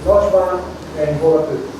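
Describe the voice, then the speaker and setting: A man's voice: fairly high pitch, fairly even intonation, a little slow, noisy background. A man whose first language is Italian, giving a university lecture to a seminar audience, explaining step by step and often checking in with "okay?". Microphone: distant from the recording mic. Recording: very echoey room recorded from far off.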